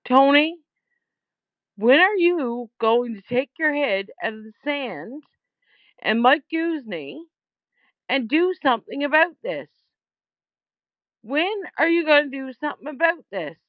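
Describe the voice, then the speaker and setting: A woman's voice: high voice, big pitch swings, measured pace, pauses often, no echo. A woman leaving an informal complaint voicemail over the phone, speaking in a blunt, aggrieved and emphatic way, with dull lo-fi sound. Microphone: phone call.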